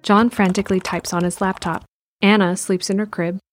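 A woman's voice: mid-range voice, little pitch movement, moderate pace. A woman reading scripted narration for an audio drama. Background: faint music.

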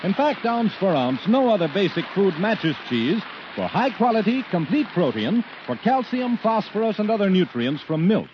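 A man's voice: sits high, big pitch swings, normal speed, a little hiss or hum. An American man reading a 1940s radio advertisement with polished, emphatic salesmanship. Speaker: radio announcer. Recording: muffled 1940s radio recording.

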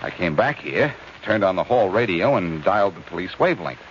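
An actor playing a quick-witted, cocky male amateur detective in a 1940s radio drama. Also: deep voice, sing-song. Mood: brisk, confident. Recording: dull, hissy old radio recording.

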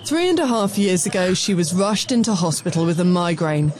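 A woman narrating a news report in a measured, scripted reading. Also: lowish voice, lively intonation, loud music behind the voice.

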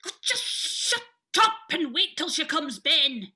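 An actress playing a bossy but doting older Scottish nanny in an audio play, brisk, scolding and exclamatory. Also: female voice, high voice, big pitch swings, crowd noise, exaggerated voice. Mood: bossy, irritated. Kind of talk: naturalistic audio play dialogue.

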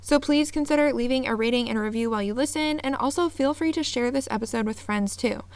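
A woman's voice: a little high, quick pace, no room sound. A woman hosting a podcast, earnest and upbeat, reading her intro and then chatting with a guest. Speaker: podcast host. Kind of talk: scripted intro then casual interview.

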